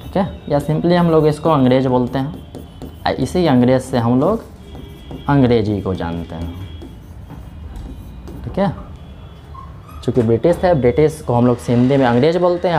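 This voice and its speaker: A man's voice: medium pitch, expressive, normal speed, long pauses, mellow, soft background music. Male teacher explaining patiently. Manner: explaining step by step, keeps saying "theek hai"; asks questions and answers them himself. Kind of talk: classroom lecture.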